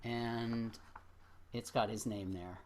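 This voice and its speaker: A middle-aged or older man speaking at a poetry open mic in a reflective, expressive way. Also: medium pitch, slow, a little hiss or hum. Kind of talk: spoken poetry reading with informal remarks to an audience.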